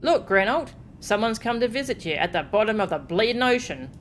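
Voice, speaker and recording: A woman's voice: a little high, big pitch swings, a little fast, a little hiss or hum, pitched above the performer's own voice. A woman voicing a bitter, self-pitying male craftsman in a video game, grumbling in crude colloquial speech. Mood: bitter, despairing. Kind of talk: reading video game character dialogue. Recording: game music behind the voice.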